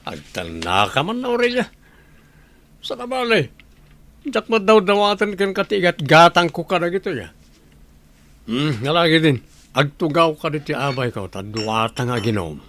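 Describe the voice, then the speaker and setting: A man's voice: fairly high pitch, big pitch swings, moderate pace, long pauses, fairly bright tone. A man acting a hard-drinking, pleading village husband in an Ilocano radio drama, with background noise. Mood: tipsy, coaxing.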